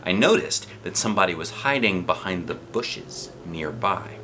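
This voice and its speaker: A man reading a children's book aloud in an expressive, playful way, with chatty asides. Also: deep voice, moderate pace, a little hiss or hum. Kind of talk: animated storybook read-aloud with chatty asides. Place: living room sofa, indoors. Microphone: camera a short distance away.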